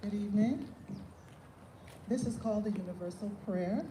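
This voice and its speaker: A woman leading a solemn prayer, reading it out in measured phrases. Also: mid-range voice, mellow. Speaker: prayer leader. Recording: distant, echoey, noisy event recording.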